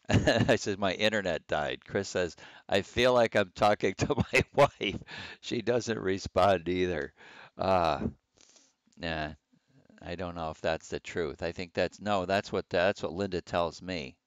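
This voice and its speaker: A man's voice: deep voice, measured pace, no echo. A man chatting casually on a livestream as a radio hobbyist host, rambling in a folksy, hesitant way.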